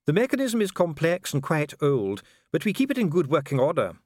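A man's voice: on the high side, huge pitch swings, fairly quick, a little mellow, no echo, clean studio sound, voice pushed up. A man voicing a solemn, disdainful dwarf official in an audiobook, formal, precise and pompous. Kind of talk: audiobook character acting.

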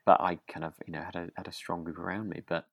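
A man co-hosting a podcast in relaxed discussion, feeling his way through sentences with halting, hesitant phrasing. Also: deep voice, no echo, studio quality. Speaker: podcast co-host. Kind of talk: relaxed discussion of a radio drama.

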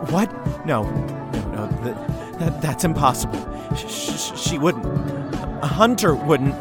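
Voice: mid-range voice, sing-song, measured pace, loud music behind the voice. A performer playing a heartbroken, horrified young man in an audio drama, agitated and stammering. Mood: stunned disbelief.

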